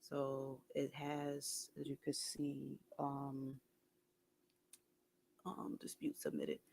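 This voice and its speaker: A soft-voiced man talking casually to camera, hesitant, with many ums and restarts. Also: mid-range voice, completely flat delivery, very slow, gravelly, husky, low-quality recording. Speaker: video creator.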